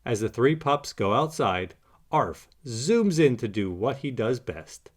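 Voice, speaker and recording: Animated man reading a children's storybook. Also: mid-range voice, measured pace. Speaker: storybook narrator. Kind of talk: animated children's read-aloud narration.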